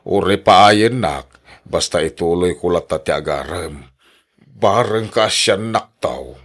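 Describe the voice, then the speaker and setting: Man playing very deep-voiced lovesick old Ilocano suitor. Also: slow. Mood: lovesick, pleading. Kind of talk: Ilocano radio drama acting.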